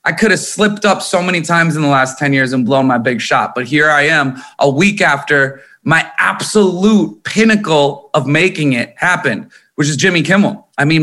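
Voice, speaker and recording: A man in his thirties from Minnesota, a musician talking candidly and emphatically in an interview, swearing freely. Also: a little high. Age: 30s. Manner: animated, emphatic, swears often. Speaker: guest musician.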